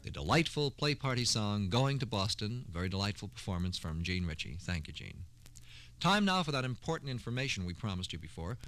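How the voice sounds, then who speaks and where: A man's voice: mid-range voice, a bit bright. A man hosting a scripted 1960s radio program in a formal, courteous announcer manner. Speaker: radio host.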